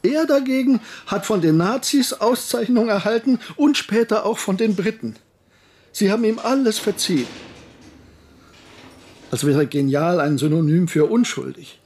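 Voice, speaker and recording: An actor playing an anguished, embittered German professor in a radio drama, speaking insistently and defensively. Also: male voice, high voice, moderate pace, a little mellow, slightly rough. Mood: bitter, accusing. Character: anguished, embittered male German professor recounting his past. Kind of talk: radio drama narration and dialogue.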